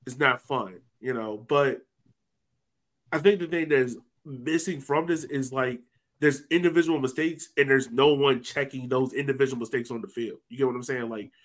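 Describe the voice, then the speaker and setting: A man's voice: medium pitch, normal speed. A man talking casually and colloquially as a sports podcast host, sometimes voicing imagined reactions.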